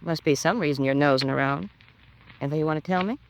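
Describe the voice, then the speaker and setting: Gossipy landlady from 50s radio cop show, chatty folksy slang, gravelly, disapproving. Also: sits low, quick pace, a little hiss or hum, poor audio. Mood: prying. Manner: folksy, chatty, ungrammatical colloquial talk. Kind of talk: 1950s radio crime drama acting.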